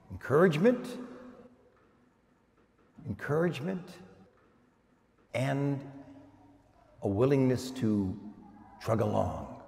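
A man's voice: mid-range voice, a little mellow. Older male preacher in a reverberant hall. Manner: emphatic repetition with deliberate pauses. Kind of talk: homily to a congregation. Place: large church indoors, at the pulpit.

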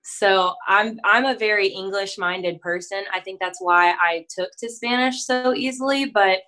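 A woman's voice: mid-range voice, measured pace, bright, forceful tone, poor audio. A young woman who is a country singer, talking with a podcast host in a friendly, chatty way with frequent fillers, from a room at home over a video call. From Texas. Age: young adult. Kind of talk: casual interview chat. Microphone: video call, webcam.

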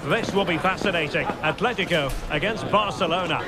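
A man's voice: high voice, brisk, loud music behind the voice, natural voice. A man playing a TV football commentator, introducing big matches with dramatic, emphatic, grand scripted phrasing. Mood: keen anticipation. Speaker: sports commentator. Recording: clear stadium ambience behind voice.

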